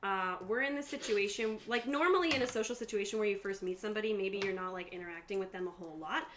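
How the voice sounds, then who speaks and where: A woman's voice: medium pitch, quick pace, a bit bright. Woman game master getting over a cold. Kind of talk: explaining a tabletop game to players. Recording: faint room noise.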